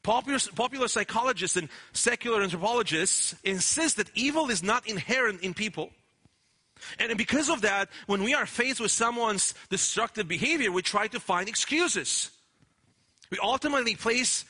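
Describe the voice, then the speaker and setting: High, bright-voiced earnest preacher. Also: male voice, expressive, moderate pace, husky. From Romania. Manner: earnest, emphatic preaching with frequent restarts. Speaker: pastor. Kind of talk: sermon.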